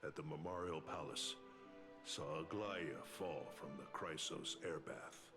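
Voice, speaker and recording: A man voicing a grave, formal lord of a city guard in a video game, speaking in a measured way. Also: extremely low pitch. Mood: grave, regretful. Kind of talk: dramatic video game acting. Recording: loud game music underneath.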